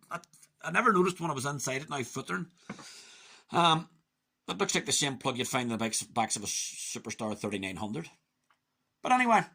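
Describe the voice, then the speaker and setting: A man giving a casual, chatty, opinionated hands-on radio hobby review, muttering to himself at times, in a husky voice. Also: on the high side, bright, forceful tone. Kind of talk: casual hands-on product demonstration.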